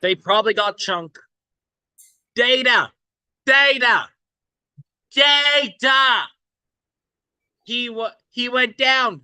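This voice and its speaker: A woman plays an anxious kid in an adventure table read. The voice is bright and close-dry, with halting, pleading delivery at a very unhurried pace. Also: on the high side, clean tone, dry recording, full character voice. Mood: distraught. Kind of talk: table read of a film script.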